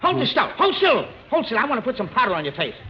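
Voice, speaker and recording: A man playing an excitable, mischievous sidekick to a broke showman, in 1940s radio comedy style. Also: very high voice, fast, exaggerated voice. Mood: gleeful, urgent. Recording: dull, noisy 1940s radio recording.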